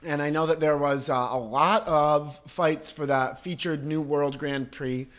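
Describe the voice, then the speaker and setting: A man's voice: a little high, fairly even intonation, unhurried, fairly bright tone. A man hosting a podcast, chatting casually, laughing easily and setting up long questions. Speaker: podcast host.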